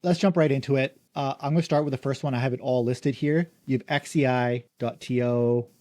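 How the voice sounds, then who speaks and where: Casting: male podcast host, mellow tone, dry close sound, brisk and chatty. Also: medium pitch, steady tone, no echo. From Canada. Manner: upbeat, quick, leans on "like" and "uh". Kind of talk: casual interview.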